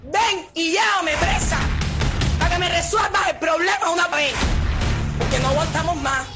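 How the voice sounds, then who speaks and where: A Cuban-accented woman makes a desperate, impassioned plea. Her voice is extremely high and shouted, with loud music underneath. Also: moderate pace.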